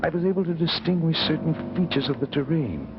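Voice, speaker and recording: An actor playing an absorbed bachelor professor, genial but evasive, in theatrical 1950s radio drama style. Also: male voice, fairly high pitch, lively intonation, quick pace, close to an everyday voice. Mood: obsessed, intense. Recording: muffled, noisy 1950s radio recording.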